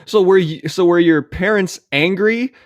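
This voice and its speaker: A man's voice: sits high, a little slow, dry recording, clean studio sound. A man co-hosting a podcast, curious and asking the guest follow-up questions. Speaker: podcast co-host. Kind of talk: casual podcast interview.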